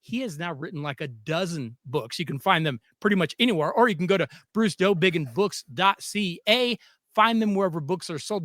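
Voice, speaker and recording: A man's voice: sits high, pushed and bright, dry recording, clean studio sound. A man hosting a sports podcast, introducing his guest and asking questions with eager enthusiasm. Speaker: podcast host. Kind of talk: casual sports interview.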